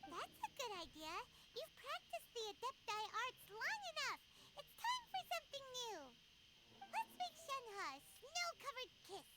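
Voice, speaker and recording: A woman's voice: very high voice, wildly swooping pitch, a little slow, over a noisy crowd, exaggerated voice. A woman voicing a small, childlike female fairy companion in a video game, chirpy, blunt and easily flustered. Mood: helpful, upbeat. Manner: refers to self in third person, exclamatory.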